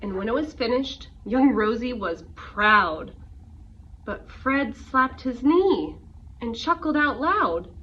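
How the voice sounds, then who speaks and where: A woman reading a rhyming picture book aloud to young children in an expressive storytelling way. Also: fairly high pitch, slow. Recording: muffled, noisy, distant microphone.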